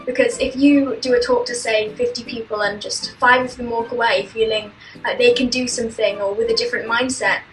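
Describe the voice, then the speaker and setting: Young woman founder, fairly high voice, friendly; echoey room. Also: fairly even intonation, a little fast, slight background noise. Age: young adult. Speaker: environmental charity co-founder. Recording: roomy echo.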